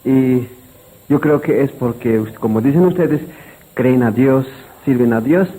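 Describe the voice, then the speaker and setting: A man playing a humbled, admiring character who speaks haltingly and earnestly in a radio drama. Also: medium pitch, warm tone, close to an everyday voice, poor audio. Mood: humble, moved. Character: humbled, admiring man moved by persecuted believers.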